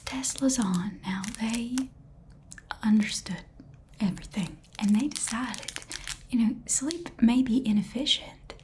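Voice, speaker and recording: Sassy chatty Southern saleswoman, voiced by a woman: slow sugary whisper, warm, faint typing behind. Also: on the high side, slight background noise, lightly acted. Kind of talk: whispered ASMR roleplay.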